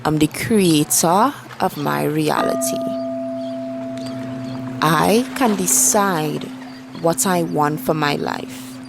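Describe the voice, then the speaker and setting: A woman leading a guided meditation with spoken affirmations, soothing and deliberate, leaving long pauses between short phrases. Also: deep voice, vocal fry, noisy background. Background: soft background music.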